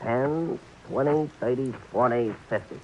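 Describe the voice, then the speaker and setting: An actor playing a small-time racetrack bookie who talks in florid, overly formal phrases mixed with track slang, in a 1940s radio play. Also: male voice, medium pitch, measured pace, slight background noise, close to an everyday voice. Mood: pompous. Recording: muffled vintage radio broadcast.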